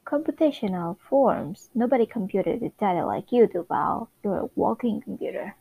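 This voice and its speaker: A woman's voice: mid-range voice, expressive, normal speed, gravelly, breathy edge, phone-quality sound. A woman playing a good-natured, folksy male co-worker in a sitcom office. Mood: admiring.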